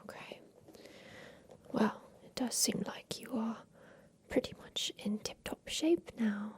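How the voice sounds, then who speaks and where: Woman acting as a doting eccentric creator, whispered ASMR roleplay, unhurried, formal old-fashioned phrasing, secretive schemer. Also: on the high side. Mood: soothing, doting. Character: doting eccentric mansion inventor, plotting against her captor.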